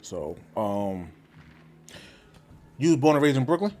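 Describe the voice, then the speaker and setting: A man's voice: medium pitch, big pitch swings, measured pace, darkish tone. A man hosting a casual podcast, asking easygoing questions with short affirmations. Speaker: podcast host. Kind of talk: casual interview.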